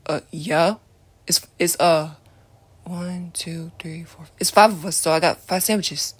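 Woman playing a calm bossy teen boy, lower voice, flat and dismissive. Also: unhurried, slightly rough. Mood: dry. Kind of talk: ASMR roleplay.

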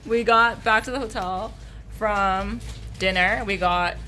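Young woman artist, tired, slightly hoarse, rambly and casual, laughs between thoughts. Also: mid-range voice, fairly even intonation, slow, pushed and bright, a little hiss or hum. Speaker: artist vlogger. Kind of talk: casual vlog chat. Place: hotel room and convention hall.

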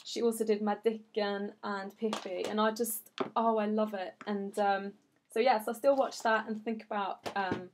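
A woman's voice: mid-range voice, steady tone, normal speed, slight background noise. A young woman from Iran who grew up in Sweden, answering viewer questions in a chatty, earnest vlog from her office. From Iran, raised in Sweden. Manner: chatty and earnest, many fillers. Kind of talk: casual chat.

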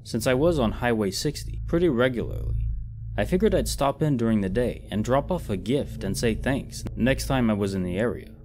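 A man narrating as a storyteller and host in a measured, reflective manner. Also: medium pitch, expressive, a little mellow. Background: background music.

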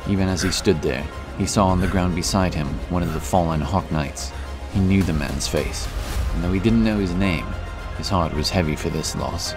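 Very deep male fantasy narrator. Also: normal speed, hardly any pauses. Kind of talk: scripted dramatic fantasy narration. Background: prominent music.

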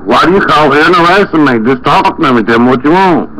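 A man's voice: on the high side, fast talker. A man making a prank phone call, complaining in a folksy, rambling, repetitive way with frequent exclamations. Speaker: prank caller. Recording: noisy phone prank recording, steady background noise.

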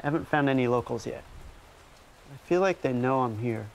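A man's voice: medium pitch, a little fast, vocal fry, a little hiss or hum. A man, hosting a documentary with narration and casual interviews. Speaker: documentary host.

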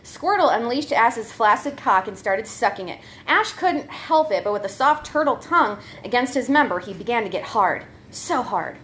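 A woman's voice: fairly high pitch, animated, normal speed, hardly any pauses, a bit bright, a little hiss or hum. A woman reading a comic story aloud as a performer, giggly and prone to breaking up as she goes. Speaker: comedy troupe member reading a story.

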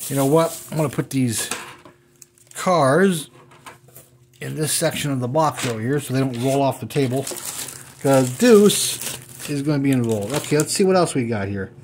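A man's voice: mid-range voice, normal speed, long pauses, a little mellow, slight background noise. Chatty man unboxing toy cars. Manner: chatty, thinks aloud while handling items. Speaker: toy car collector unboxing. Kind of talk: casual unboxing commentary. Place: indoors at a table at home.

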